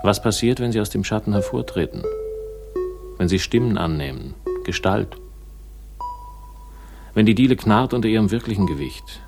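An actor in a radio crime play playing a cynical, stubborn investigator, speaking in a laconic, sardonic way with a gravelly voice. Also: male voice, fairly low pitch, animated, moderate pace, pauses often, music in the background. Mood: brooding. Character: cynical, stubborn male hard-boiled crime investigator, wry and defiant. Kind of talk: 1960s German radio play acting, dialogue and narration.

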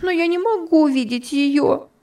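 A woman's voice: high-pitched, steady tone, a little slow, gravelly, dark tone, husky, distant mic, voice pushed up, full character voice. A woman voicing a grief-stricken young girl who wails and pleads through tears. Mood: despairing. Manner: wailing, tearful.

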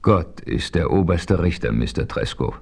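A seasoned frontier hero who tells the story himself in an old radio play. He speaks calmly and with authority, in a very low voice. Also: vocal fry, exaggerated voice. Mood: firm. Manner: calm, measured, authoritative. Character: seasoned frontier hero narrating his adventure. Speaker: narrator. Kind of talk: 1970s radio play narration.

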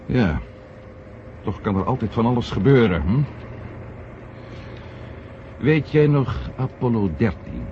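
Stubborn suspicious reporter guy in an old radio play, really animated, muffled noisy tape. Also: mid-range voice, takes it slowly, long pauses. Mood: worried, earnest. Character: stubborn, suspicious male journalist and former astronaut. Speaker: radio drama actor. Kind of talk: 1970 Dutch radio drama acting.

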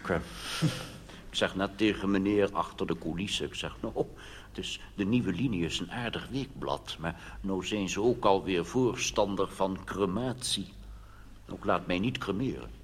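A man playing a doddering, old-fashioned fellow in a cabaret monologue, halting and repeating himself. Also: low voice, pitched below the performer's own voice, natural voice. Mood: halting, homely. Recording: hissy old live theatre recording, long reverb.

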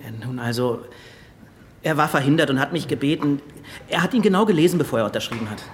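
An actor playing a resentful police secretary in a radio play, clipped and grudgingly deferential. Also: male voice, medium pitch, expressive, fast, slight background noise, lightly acted. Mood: uneasy, deferential. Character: defensive, resentful nationalist police secretary, subordinate.

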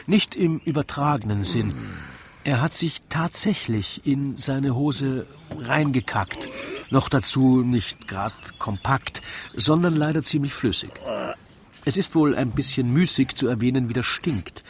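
A man's voice: medium pitch, measured pace, slight background noise. A man with an Austrian accent narrates a radio play in scripted rhyming verse, in a wry storytelling manner.

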